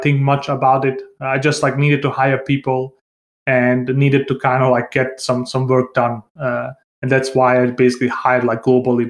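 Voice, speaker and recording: A man from Bosnia who grew up in Denmark, speaking informally and hesitantly as a guest in a casual podcast interview, often saying "like". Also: mid-range voice. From born in Bosnia, grew up in Denmark. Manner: informal, hesitant, frequent "like" and "you know". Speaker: interview guest, tech company founder. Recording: roomy, echoing sound.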